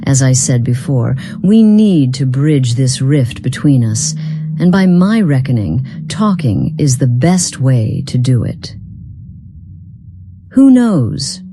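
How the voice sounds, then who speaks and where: Very low-voiced, proud otherworldly being, acted by a woman. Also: big pitch swings, dark tone, soft background music, exaggerated voice. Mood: courteous, reserved. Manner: formal, archaic, deliberate phrasing with measured pauses. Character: enigmatic, long-lived, part-human being, proud, formal and guarded. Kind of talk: scripted fantasy game dialogue.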